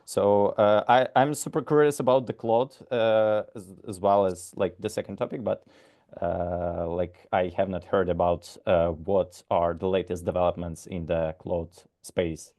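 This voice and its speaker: Slow, hesitant man hosting a call. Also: low voice, steady tone, a little mellow. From Ukraine. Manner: hesitant, many "uh" and restarts. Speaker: call moderator. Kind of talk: casual moderating of a video call.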